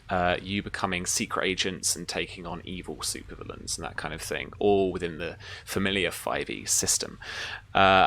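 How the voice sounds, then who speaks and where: A man with a low but bright, forward voice hosts in a relaxed conversational style. They speak as the game master of a stream, handing over to players and plugging projects. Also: slight background noise. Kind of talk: casual stream sign-off chat.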